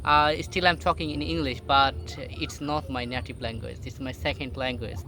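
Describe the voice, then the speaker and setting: A young man from Bangladesh, a native Bengali speaker, giving a casual motivational talk to camera in halting English full of fillers and restarts, sitting on a boat outdoors. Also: mid-range voice, steady tone, pushed and bright, slight background noise. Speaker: vlogger. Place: sitting alone on a boat outdoors in a rural area.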